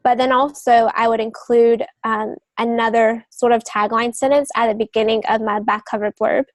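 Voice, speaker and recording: A woman's voice: on the high side, little pitch movement, normal speed, dry recording. A woman giving advice in a casual podcast chat, talking informally in loosely strung thoughts. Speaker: literary agent and novelist giving advice.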